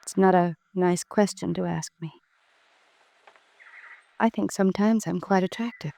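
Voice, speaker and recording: A woman playing an evasive, coquettish married woman in a 1950s radio drama, measured, guarded and composed. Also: on the low side, vocal fry, mellow. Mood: coy, guarded. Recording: noisy vintage radio recording.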